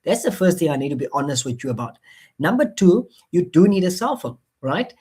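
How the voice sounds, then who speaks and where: A man's voice: a little high, big pitch swings, a little fast, dark tone, husky. Coaxing man with an Afrikaans accent. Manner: repetitive, coaxing, many fillers and restarts. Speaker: online marketing presenter. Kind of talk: motivational sales pitch on a live stream.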